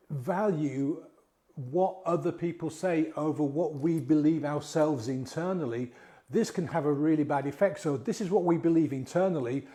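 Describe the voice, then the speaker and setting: A middle-aged man with a croaky voice from a cold, talking casually to camera about confidence. His voice is slightly high for a man but mellow and warm. He talks in a chatty way, asking rhetorical questions and restarting his phrases. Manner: conversational, asks rhetorical questions, repeats and restarts words. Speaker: mindset coach and hypnotist. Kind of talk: casual coaching talk to camera.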